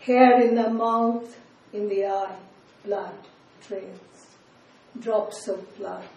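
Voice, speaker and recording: An older woman from India, a poet whose first language is not English, giving a slow, measured poetry reading with soft, trailing line endings and short asides between poems. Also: mid-range voice, steady tone. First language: Bengali. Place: reading event in a room. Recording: distant, echoey room with faint ambience.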